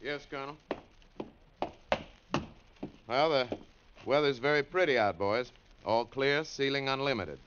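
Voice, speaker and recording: An actor playing an easygoing, dutiful military weather briefer in an old radio drama, reporting item by item. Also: male voice, mid-range voice, moderate pace, noisy background, lightly acted. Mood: matter-of-fact. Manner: orderly, itemised reporting. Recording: muffled vintage radio recording.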